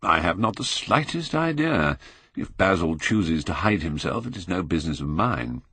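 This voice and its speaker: A man voicing a languid, witty, cynical older Victorian gentleman in an audiobook, with a British accent and a blasé drawl. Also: low voice, very animated, moderate pace, breathy edge, dry recording, heavily acted.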